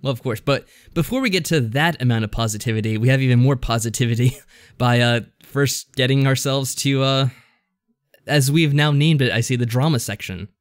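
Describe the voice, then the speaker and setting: A man's voice: mid-range voice, a little fast, no room sound. A man co-hosting a podcast in casual chat, with a dry, sarcastic way of talking. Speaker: podcast co-host.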